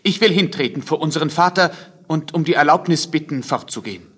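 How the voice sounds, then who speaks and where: A man's voice: on the high side, fairly quick, fairly bright tone, breathy edge. A man playing an earnest, determined young prince, the eldest son, in a fairy-tale radio play.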